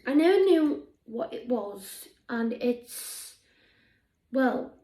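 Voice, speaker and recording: A woman improvising as an earnest sixteen-year-old alien girl with a Welsh accent, telling her past in a halting, reflective way. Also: on the high side, sing-song, mellow, echoey room, heavily acted. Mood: wistful. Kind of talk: improvised in-character monologue.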